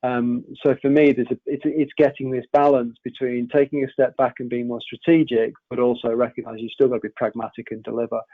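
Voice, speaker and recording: A man's voice: medium pitch, little pitch movement, fairly quick, few pauses, no room sound. A man speaking as a webinar panel guest, hesitant and full of restarts. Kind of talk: webinar discussion. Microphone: video call. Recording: thin phone-like call audio.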